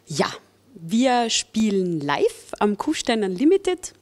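A young Austrian woman, a singer and bandleader, talks through interview questions in a friendly, chatty way, pausing on small fillers as she goes. Her voice sits in a middle range and is picked up on a handheld mic in a stone-walled music venue. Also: medium pitch, sing-song, a little mellow. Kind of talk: casual interview answers.

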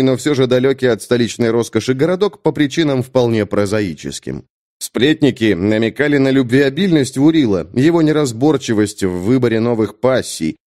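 A male narrator reads a fantasy novel for an audiobook. His voice sits at an average pitch for a man and rises and falls widely. The delivery is scripted, measured and dramatic, shaped for storytelling. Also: a little mellow.

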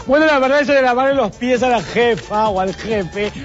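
A man's voice: extremely high pitch, fairly quick. A man playing a chatty, teasing female TV hostess who giggles through her gossip. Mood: gossipy. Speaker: show host. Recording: dull lo-fi with faint music.

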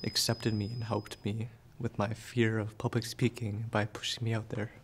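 A young man telling his own story in a short film, soft-spoken and halting, with pauses and fillers. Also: lowish voice, flat delivery, a little hiss or hum. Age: young adult. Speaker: student filmmaker narrating his own story. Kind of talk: personal narration for a short film.

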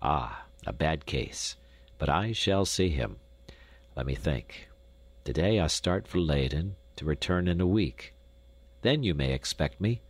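A man voicing a stern, gruff old doctor who speaks curtly. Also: a bass voice, expressive, unhurried, heavily acted. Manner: gruff, curt.

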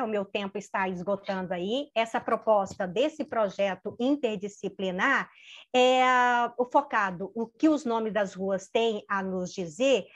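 A middle-aged woman giving an academic lecture over a video call, pausing with fillers and restarting her phrases. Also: medium pitch. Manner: hesitant, many fillers and restarts. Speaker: keynote speaker. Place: home office with bookshelves. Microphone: video call webcam. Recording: dull lo-fi video call audio.

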